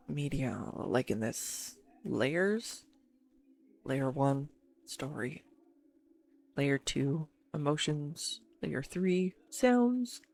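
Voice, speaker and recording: A woman playing an excitable, affectionate girlfriend in a scripted roleplay, earnestly info-dumping to her listener with halting pauses. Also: sits low, creaky, full character voice. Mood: excited. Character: excitable, affectionate neurodivergent girlfriend gushing over her favourite film. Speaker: amateur voice actor. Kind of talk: scripted roleplay audio monologue spoken to a listener.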